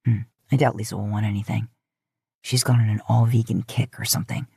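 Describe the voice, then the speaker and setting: A woman's voice: rumbling low, steady tone, a little fast, vocal fry, warm tone, breathy edge, dry recording, studio quality, pitched below the performer's own voice, heavily acted. A woman voicing a casual, firm, protective young man in an audio fan fiction story. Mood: casual, considerate. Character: gruff, earnest young man, protective and teasing.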